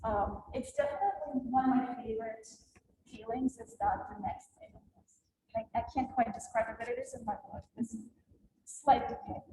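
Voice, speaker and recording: A woman's voice: a little high, moderate pace. Reflective woman artist in echoey gallery. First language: Russian. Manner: hesitant, reflective, laughs often. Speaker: exhibiting artist. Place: gallery exhibition space. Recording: echoey gallery with faint room noise.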